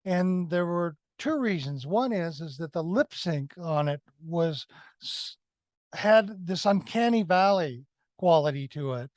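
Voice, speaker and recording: A man's voice: fairly high pitch, lively intonation, measured pace, darkish tone, dry recording, clean studio sound. A man giving a conversational film critique as a review panelist, emphatic and repeating phrases for effect.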